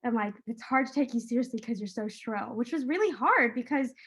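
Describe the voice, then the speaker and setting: A teenage girl with a high-pitched voice who moderates a casual panel discussion and talks in a chatty way with many fillers. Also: expressive, fairly quick, fairly bright tone, husky. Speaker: student moderator.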